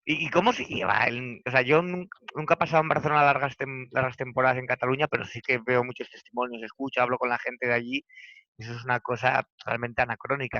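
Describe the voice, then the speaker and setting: Asturian guy hosting a chat, bright voice, dry dull lo-fi sound, lots of ehs. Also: mid-range voice, no room sound. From Asturias, Spain. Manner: conversational, with hesitant fillers. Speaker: host. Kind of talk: casual conversational interview.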